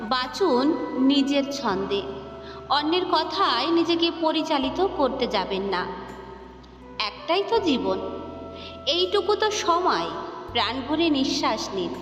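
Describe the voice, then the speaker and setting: A woman storyteller recites in a high, expressive voice. She takes her time over each phrase. Her voice rings with heavy reverb over music. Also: slow, long pauses. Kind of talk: expressive Bengali short story narration. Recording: roomy long reverb, music behind.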